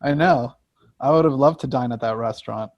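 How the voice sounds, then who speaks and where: A man talking casually as a writer about his work on a video call, halting and repeating words. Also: mid-range voice, darkish tone, no room sound, muffled recording. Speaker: screenwriter. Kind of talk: casual discussion of own writing.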